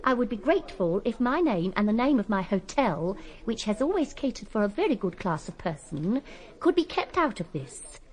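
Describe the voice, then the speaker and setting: A woman's voice: medium pitch, big pitch swings, normal speed, a little mellow, lightly acted. An actress playing a prim, respectable hotel keeper in a radio drama, formal and genteel as she reads out a letter. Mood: prim, indignant.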